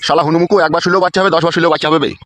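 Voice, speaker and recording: A man voicing a gruff, threatening older father in a comedy cartoon, crude and blustering. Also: a little high, fairly even intonation, rapid-fire, a bit bright, natural voice. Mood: scolding. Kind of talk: Bengali comedy cartoon dub.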